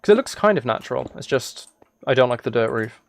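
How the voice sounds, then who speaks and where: A man's voice: mid-range voice, brisk, creaky, dry recording. Rambling man chatting on a casual livestream. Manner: rambling, thinking aloud; soft-spoken, relaxed. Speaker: live streamer. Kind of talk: casual livestream chat while gaming.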